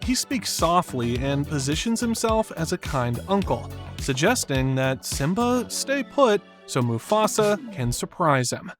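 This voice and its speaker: A man's voice: a little high, big pitch swings, unhurried, soft background music. A man delivering scripted narration as the narrator of a video essay.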